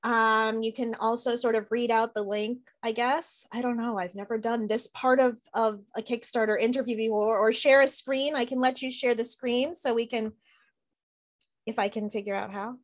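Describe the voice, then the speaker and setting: A middle-aged woman hosts an informal interview over a video call. Her voice sits somewhat high. She talks casually and is a little self-deprecating. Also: steady tone, moderate pace. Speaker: interviewer, host. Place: small room at home.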